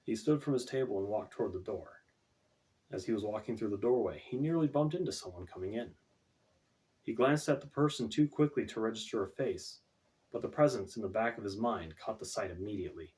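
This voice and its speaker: A man's voice: fairly low pitch, a little fast, darkish tone, low-quality recording. A man reading his own fantasy novel as scripted audiobook narration, recorded away from the microphone.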